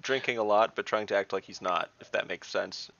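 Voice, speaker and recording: A man's voice: lowish voice, brisk, creaky, fairly bright tone, lo-fi sound. A man playing in an online tabletop game, chatting casually and describing his character's doings. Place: indoors at home, on a group video call.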